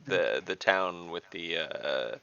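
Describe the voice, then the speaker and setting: A man's voice: low voice, lively intonation, takes it slowly, vocal fry, bright, forceful tone, clear, resonant tone, highs cut off. A man playing a tabletop game online, chatting casually and joking with the group.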